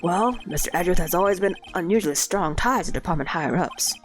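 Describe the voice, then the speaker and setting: Woman doing a goofy loyal cop guy, says pal a lot, lowish voice, swoopy, kinda stuffy from a cold. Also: lively intonation, quick pace, faint music behind, natural voice. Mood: earnest. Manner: folksy, calls people pal. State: sick with a cold.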